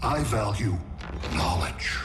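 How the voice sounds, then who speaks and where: Slow, echoing alien mastermind. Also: male voice, on the low side, very animated. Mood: cold, imperious. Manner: measured, formal, pronouncing. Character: cold, arrogant male alien collector of worlds, vastly intelligent. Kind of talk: video game cutscene acting. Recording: echoey, with music behind.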